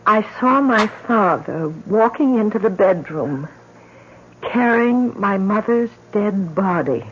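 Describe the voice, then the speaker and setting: A woman's voice: mid-range voice, lively intonation, takes it slowly, slightly rough. A woman acting in an old-time radio drama as a frightened, haunted young daughter. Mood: reflective, relieved. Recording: dull old radio recording, faint noise.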